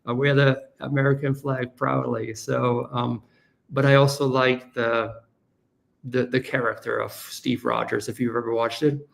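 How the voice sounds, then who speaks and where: A man's voice: mid-range voice, little pitch movement, vocal fry. A German-born man in his forties with a light German accent, chatting earnestly as a podcast guest. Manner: earnest, rambling, quick asides. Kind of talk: casual interview chat.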